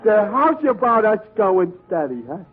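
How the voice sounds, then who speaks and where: A man's voice: sits high, sing-song, unhurried. An actor playing a dim-witted, bumbling, hesitant man in a vintage radio comedy. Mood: lovestruck. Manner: hesitant, dopey, starts with uh. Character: dim-witted, bumbling, lovestruck tavern regular. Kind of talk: 1940s radio comedy acting. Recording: vintage radio, narrow band, loud audience, hiss.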